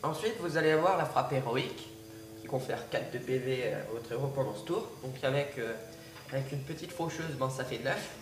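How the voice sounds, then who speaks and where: A man's voice: mid-range voice, moderate pace, far from the mic, background noise. A man with a cold, hesitantly talking through a card game with lots of fillers and restarts. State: has a cold. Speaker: gaming video creator. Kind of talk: casual gameplay commentary. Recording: echoey room, off-mic, music behind. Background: background music.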